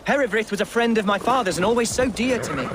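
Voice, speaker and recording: A man's voice: high-pitched, expressive, fast, background noise. An actor playing an earnest, unsure young nobleman in a video game, formal and sincere. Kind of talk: video game character acting.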